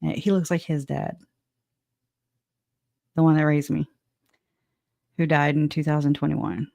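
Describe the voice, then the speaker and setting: A woman's voice: sits low, flat delivery, measured pace, vocal fry, warm tone, no echo. Chatty woman hosting a dry livestream. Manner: chatty, laughing, rambling with restarts. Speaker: livestream host. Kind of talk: casual livestream chat.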